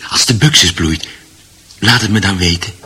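An actor playing a confused, gentle husband who is shut away, speaking haltingly and repeating himself in a radio drama. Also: male voice, deep voice, husky, heavily acted. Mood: anxious, resigned. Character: confused, gentle confined husband fretting about his garden.

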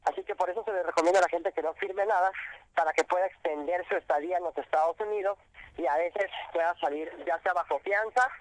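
A man's voice: sits high, low-quality recording. A man answering radio interview questions about immigrant detention, explaining step by step with frequent fillers and restarts. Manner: explanatory, halting with many fillers. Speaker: immigration rights guest.

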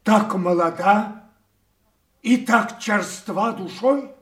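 Aged monarch, proud, declamatory, commanding, unhurried, roomy echo, classical radio theatre. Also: male voice, high voice, fairly bright tone, exaggerated voice. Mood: stern, wounded. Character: old king, proud, imperious, quick to rage. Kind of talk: classical stage acting in an audio drama.

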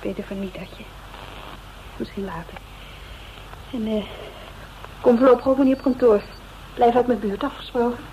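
An actress playing a sharp-tongued, bitter woman in a radio crime drama, speaking curtly and bluntly. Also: on the high side, normal speed, pauses often, muffled recording, slight background noise, lightly acted. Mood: cool, dismissive. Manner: curt, blunt. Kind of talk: Dutch radio drama acting.

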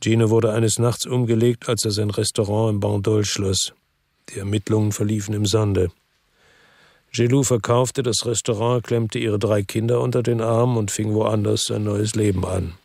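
A world-weary former cop, narrating his own story in a radio play. He tells it calmly and reflectively. His voice sits low with a husky grain. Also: moderate pace, no room sound, clean studio sound. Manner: calm, reflective first-person narration. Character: world-weary ex-cop, middle-aged man, lives by the sea.

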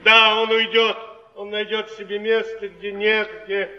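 An actor playing a drunken, ruined thespian, grandiose and wounded, who declaims tragically in a theatrical stage manner. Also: male voice, high-pitched, completely flat delivery, unhurried, long reverb tail. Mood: brooding, defiant. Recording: muffled, distant stage play recording.

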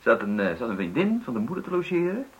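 Animated, hesitant middle-aged man. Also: medium pitch, highs cut off. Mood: teasing, amused. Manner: halting, evasive, stammering. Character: evasive, hesitant middle-aged male partner, casually teasing. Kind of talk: naturalistic radio drama dialogue.

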